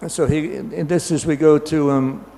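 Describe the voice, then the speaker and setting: An older man giving an informal scripture class, talking loudly and in a halting, rambling way full of ums and you-knows. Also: fairly high pitch, steady tone, big-room sound, a little hiss or hum. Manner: loud, says he has a loud voice.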